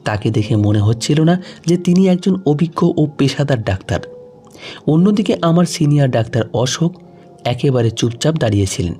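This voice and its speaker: A man's voice: a little high, dark tone. A man reading a scripted emotional story in the first person as a dramatic narrator. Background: faint music.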